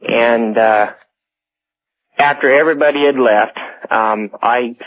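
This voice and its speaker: A tinny telephone line carries a young American man calling a radio show. His voice is mid-range, and he tells his own story casually, with a halting, hesitant delivery. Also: mid-range voice. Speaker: phone-in caller.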